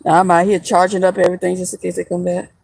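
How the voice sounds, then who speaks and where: A woman's voice: fairly low pitch, little pitch movement, fast talker, gravelly, darkish tone, dry recording. A woman in her mid-thirties chatting casually on a livestream from outdoors in the woods, laughing often and teasing her viewers. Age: 30s. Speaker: vlogger.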